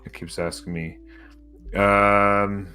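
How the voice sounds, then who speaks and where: Bright-voiced man chatting on stream. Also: lowish voice, flat delivery, slow pace, smooth, soft background music. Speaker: game streamer. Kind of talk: casual chat during a multiplayer game stream.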